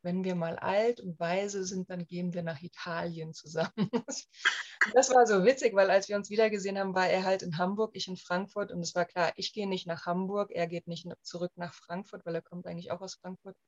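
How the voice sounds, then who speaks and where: A woman's voice: lowish voice, steady tone, a little fast, a little mellow. A woman who grew up in Germany, talking casually as an interview guest, chatty and full of fillers and restarts. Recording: dull lo-fi sound.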